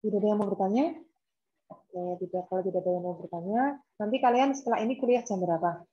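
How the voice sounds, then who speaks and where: A woman's voice: mid-range voice, quick pace, warm tone, a little hiss or hum. A woman lecturer from the of Indonesia, teaching an online class informally with examples and repetition over a laptop video call. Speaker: university lecturer. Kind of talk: online lecture, explaining and asking students. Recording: dull, lo-fi video call audio.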